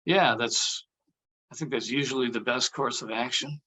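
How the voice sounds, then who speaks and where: A man's voice: mid-range voice, normal speed, pushed and bright. An actor at a table read playing a polite, cautious male film actor with a hesitant, courteous manner.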